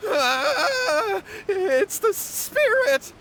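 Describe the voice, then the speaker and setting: A man's voice: extremely high pitch, big pitch swings, very slow, a bit bright, a little hiss or hum, full character voice. A man voicing an anxious, apologetic young man in a video game, earnest, timid and deferential. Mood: panicked. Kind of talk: video game character acting.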